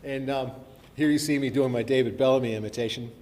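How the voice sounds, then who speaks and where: A man's voice: mid-range voice, fairly even intonation, fast talker, a little mellow. A middle-aged man from New Zealand with a mixed, not typically Kiwi accent, giving a chatty, self-deprecating talk to an audience, full of ums and restarts. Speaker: forestry professor giving a talk. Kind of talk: live presentation to an audience. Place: lecture hall. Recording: live hall recording with reverb and faint hiss.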